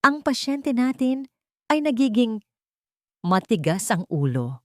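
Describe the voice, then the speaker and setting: A woman's voice: on the high side, big pitch swings, slow, a bit bright, no room sound, studio quality, voice pushed up, heavily acted. Woman voicing a sweet-voiced icy villainous stepmother. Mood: cold commanding. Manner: sweet on top, icy and commanding underneath. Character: scheming cold-hearted rich stepmother, adult woman.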